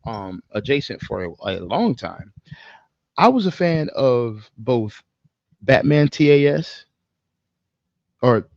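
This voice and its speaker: Male co-host, gravelly voice, foul-mouthed casual storyteller, dry but slightly muffled sound. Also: mid-range voice, slow pace, long pauses, no room sound. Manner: animated, profane, slangy. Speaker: podcast co-host.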